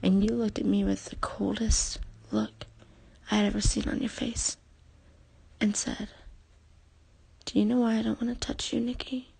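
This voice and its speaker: Female, husky with plenty of fry, unscripted intimate memoir read, halting and reflective, addressed to one person. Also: mid-range voice, steady tone, a little mellow. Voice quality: husky, creaky, often dropping to a breathy near-whisper. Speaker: personal storyteller addressing a former partner.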